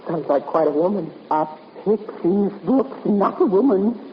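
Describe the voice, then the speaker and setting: An actress playing a fretful, prickly genteel spinster who is proud and easily flustered, in 1950s radio drama style. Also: female voice, mid-range voice, lively intonation, moderate pace, close to an everyday voice. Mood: wistful. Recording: muffled, hissy, reverberant old radio recording.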